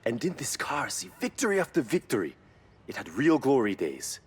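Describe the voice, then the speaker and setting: An actor playing an earnest, admiring car mechanic in a video game. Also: male voice, mid-range voice, wildly swooping pitch, normal speed, husky, heavily acted. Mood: awed, nostalgic. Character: Yuji, earnest, reverent male car mechanic. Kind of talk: video game cutscene voice acting.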